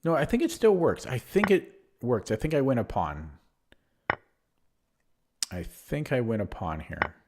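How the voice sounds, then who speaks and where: A man's voice: mid-range voice, sing-song, unhurried, long pauses, a little mellow. A man streaming online chess, thinking aloud through his moves with a hesitant, self-deprecating manner and frequent fillers. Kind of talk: live game commentary.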